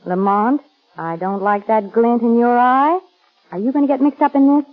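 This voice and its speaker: A woman's voice: a little high, fairly even intonation, normal speed, soft background music, natural voice. An actress playing a plucky, wisecracking young American society woman in a 1930s radio drama. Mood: dry, worried. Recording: muffled vintage 1930s radio recording.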